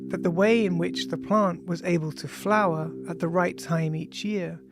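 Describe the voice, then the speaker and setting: Audiobook author, androgynous mid-pitched voice, measured science reading, clear music bed underneath. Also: steady tone. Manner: measured, reads punctuation aloud. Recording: music behind the voice. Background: ambient music with bell-like tones.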